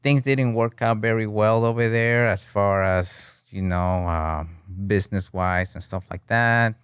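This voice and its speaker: A deep-voiced man in his thirties from Peru talks as a guest on a podcast. His voice is smooth and clean, and the sound is close and dry. He rambles in a relaxed way and keeps checking in with "you know?" and "right?", now and then slipping into Spanish. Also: measured pace, dry recording. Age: 30s. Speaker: guest, bartending business owner. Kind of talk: casual interview chat. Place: podcast studio with flags on the wall.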